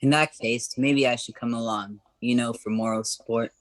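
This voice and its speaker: A man's voice: medium pitch, fairly even intonation, moderate pace, natural voice. A man playing a wisecracking, streetwise buddy in a radio comedy play, teasing and slangy.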